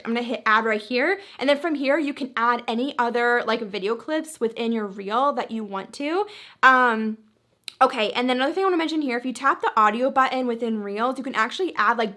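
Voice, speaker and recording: A woman's voice: on the high side, pushed and bright. A woman hosting a social media tutorial, talking casually and chattily through each step.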